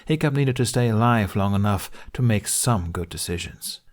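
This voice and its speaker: A man's voice: fairly low pitch, expressive, moderate pace. A man reading fiction as an audiobook narrator, in a dramatic scripted storytelling style.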